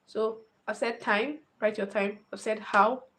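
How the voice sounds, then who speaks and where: A woman's voice: medium pitch, steady tone, measured pace, fairly bright tone, slight background noise. A woman, talking casually to camera, thinking aloud and often repeating phrases. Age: 20s. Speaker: vlogger.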